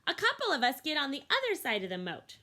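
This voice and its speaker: A woman's voice: high-pitched, quick pace, voice pushed up, full character voice. A woman voicing a young, eager and determined female elephant in a storybook reading. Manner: eager, pleading, excited.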